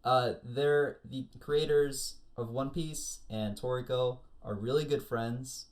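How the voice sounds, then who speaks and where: A man's voice: mid-range voice, fairly even intonation, slow pace. A man chatting casually as a panelist on an anime discussion show, explaining things with detailed asides. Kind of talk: casual chat.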